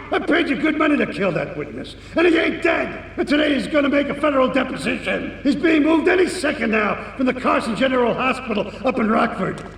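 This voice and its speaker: A man voicing a panicky, foul-mouthed corrupt cop who yells frantically in a gravelly way. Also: very high voice, exaggerated voice. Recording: distant, echoey hall reverb, slight noise.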